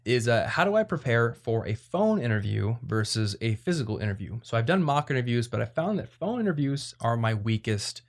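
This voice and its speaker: A man's voice: mid-range voice, very animated, a little fast, hardly any pauses. A man hosting an advice podcast, talking in a casual, conversational way as he explains things. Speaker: podcast host.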